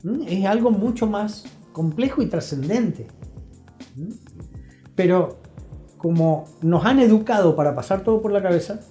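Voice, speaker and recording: Older Argentine man with a mellow voice. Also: high voice, animated, measured pace. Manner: emphatic, didactic, repeats phrases. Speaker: guest therapist. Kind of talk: reflective interview talk. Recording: roomy echo, faint music.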